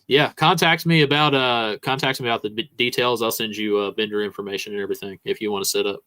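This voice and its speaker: A man's voice: fairly low pitch, fairly even intonation, fast talker, bright, forceful tone, no echo. A man hosting a car audio podcast, joking around and plugging his show in casual chat. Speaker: podcast host.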